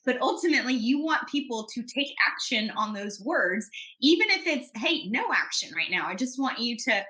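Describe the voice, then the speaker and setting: A woman speaks as a guest in a conversational interview, her voice a touch high. She explains things in an animated, confident way, with a bright, forceful tone. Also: moderate pace. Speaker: podcast guest.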